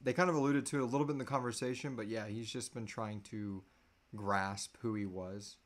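A man's voice: on the low side, fairly even intonation, fairly quick. A man in his thirties chatting casually and jokingly as co-host of a live Q&A. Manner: jokey, playful. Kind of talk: casual chat. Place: small room indoors, on a couch.

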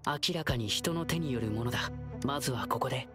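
A man voicing a gentle, alert and confident boyish young guide in a video game. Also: mid-range voice, a little fast, over music, natural voice. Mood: cautious, hushed. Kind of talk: Japanese game voice acting.

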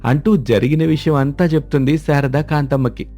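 Mellow, husky male storyteller. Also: fairly high pitch, steady tone, moderate pace, soft background music. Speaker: storyteller, narrator. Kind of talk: scripted story narration.

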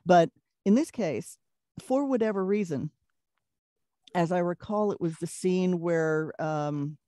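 A middle-aged woman with a Brooklyn accent and a deeper voice, telling lively anecdotes in a casual interview and laughing often. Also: takes it slowly, dry recording, clean studio sound. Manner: animated storyteller, laughs often. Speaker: voice actress, guest.

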